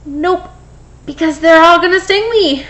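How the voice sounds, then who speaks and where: Woman voicing a high, stubborn storybook bee-hater. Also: measured pace, fairly bright tone. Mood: alarmed, protesting. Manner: protesting, doubtful, dramatic. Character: stubborn, fearful bee-hater in a children's picture book.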